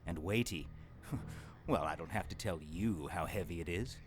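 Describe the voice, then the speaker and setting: A man's voice: sits low, moderate pace, natural voice. A man playing a pompous, menacing demon villain in an audio drama, gloating in a theatrical way. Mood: smug menace. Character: gloating male demon villain posing as human lover.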